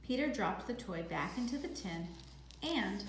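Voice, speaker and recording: A woman reading a children's novel aloud as a teacher would, in expressive storybook narration. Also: mid-range voice, unhurried. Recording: echoey room, faint background noise.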